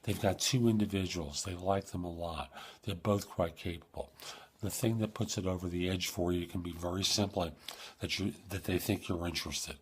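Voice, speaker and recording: A man hosting an advice show in an informal, conversational coaching manner, with a husky, slightly rough voice. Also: low-pitched, fairly even intonation, moderate pace. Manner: informal, emphatic coaching, acts out sample questions. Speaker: host of a job search advice show.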